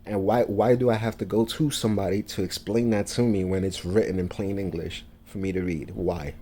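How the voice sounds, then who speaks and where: New man, very low voice, dark mellow tone, halting, lots of fillers. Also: fairly even intonation. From New York, USA. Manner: halting, many fillers and restarts. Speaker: vlogger. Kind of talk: casual monologue to camera.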